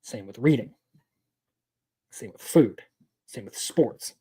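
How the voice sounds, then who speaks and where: A man's voice: medium pitch, expressive, slow pace, warm tone, husky. A man in his twenties giving casual live-stream commentary as an anime news host, opinionated and rambling, answering his chat as he goes. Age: 20s.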